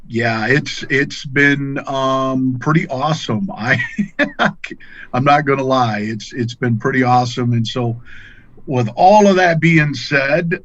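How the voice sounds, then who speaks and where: A middle-aged man chats as a podcast guest in a folksy, plain-spoken style. His pitch is average. The sound is dry and a little dull, like lo-fi call audio. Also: a bit bright, no room sound. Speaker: podcast guest, angler and fishing pro staffer. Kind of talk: casual conversational storytelling.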